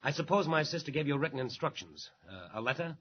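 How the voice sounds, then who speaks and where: Man doing a stern old-radio sea captain, gruff, bit high-pitched, muffled old recording. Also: animated, quick pace, natural voice. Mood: defiant. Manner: gruff and defiant. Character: stern stubborn male ship captain. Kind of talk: 1940s radio acting. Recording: dull old radio recording with faint hiss.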